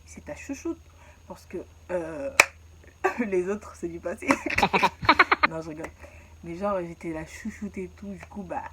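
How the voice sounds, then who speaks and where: A woman's voice: on the low side, a little hiss or hum. A young woman from Ivory Coast telling her own story in a casual, slangy way and laughing at herself.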